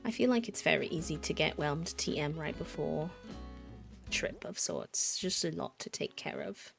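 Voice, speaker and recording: A woman's voice: deep voice, animated, moderate pace, creaky, over music. A woman streaming a game, chatting casually and greeting viewers by name. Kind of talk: casual livestream chat while gaming.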